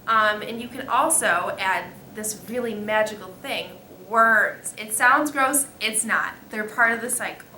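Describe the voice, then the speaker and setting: A female student giving halting, scripted explanations as an expert guest in a mock interview. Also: medium pitch, pushed and bright. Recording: echoey room with faint noise.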